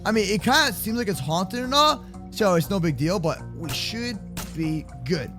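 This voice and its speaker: A young adult man improvising a children's block-game roleplay with excitable, exaggerated, slangy banter. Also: high voice, moderate pace, over music. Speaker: host of kids' gaming roleplay channel.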